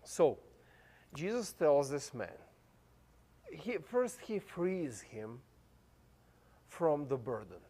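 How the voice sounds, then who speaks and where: A man's voice: fairly high pitch, very animated, drawn-out words, pauses often, warm tone. A man in his thirties with a non-native English accent, preaching a casual, chatty sermon as pastor, full of fillers and restarts. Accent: foreign accent, non-native English. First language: not English. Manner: chatty, many fillers and restarts, laughs easily.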